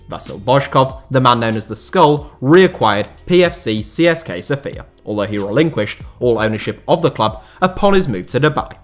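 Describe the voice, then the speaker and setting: Male host, mid-pitched voice, measured documentary voice-over, faint music under it. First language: not Bulgarian. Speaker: YouTube channel host. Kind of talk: scripted documentary narration.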